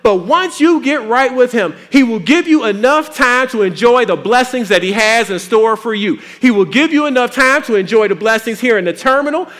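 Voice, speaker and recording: A man preaching a sermon in a folksy, animated way with playful asides. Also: extremely high pitch, moderate pace, hardly any pauses.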